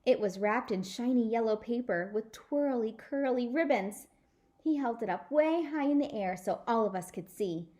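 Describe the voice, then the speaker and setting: A woman reading a children's chapter book aloud as a storyteller, with expressive, dramatic delivery. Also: a little high, moderate pace. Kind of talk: animated read-aloud narration for children.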